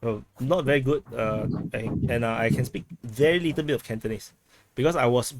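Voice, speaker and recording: A man in casual group chat on a low-quality backup recording, talking haltingly with many restarts while he explains things through comparisons. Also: a little hiss or hum. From Southeast Asia. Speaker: chat participant. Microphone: video call.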